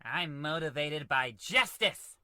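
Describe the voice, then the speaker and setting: A man's voice: fairly high pitch, lively intonation, takes it slowly, pushed and bright, smooth, dry recording, clean studio sound, full character voice. A man playing a righteous, gloating swashbuckling adventurer in a comedy radio play, declaiming bombastically.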